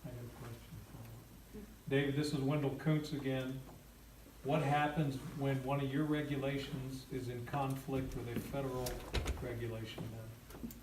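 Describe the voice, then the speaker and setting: A man's voice: medium pitch, fairly even intonation, normal speed, echoey room, far from the mic, noisy background. A man in the audience at a community meeting, asking direct questions. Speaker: audience member.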